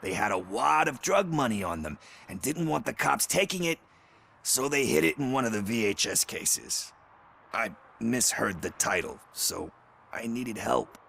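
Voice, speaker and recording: A man playing a desperate, bitter junkie informant in a video game, rambling and pleading with rough language. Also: medium pitch, animated, measured pace, a bit bright, slight background noise. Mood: bitter, defensive. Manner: rambling, foul-mouthed, pleading. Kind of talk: video game cutscene acting.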